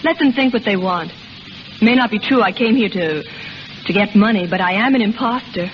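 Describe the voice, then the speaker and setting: An actress playing a sincere, wounded young shop girl in a 1930s radio melodrama, earnest and halting. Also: mid-range voice, lightly acted. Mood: resigned, wistful. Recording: noisy, dull old 1930s radio recording.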